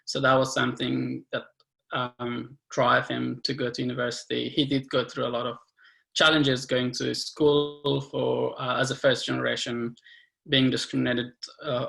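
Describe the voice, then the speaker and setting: A man in his twenties from Afghanistan shares his story earnestly, pausing often with fillers. His voice is bright and gravelly. He holds an even, level tone over a video call. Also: medium pitch, rough recording. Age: 20s. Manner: earnest, halting, many fillers. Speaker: guest speaker. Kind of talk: personal testimony on a webinar.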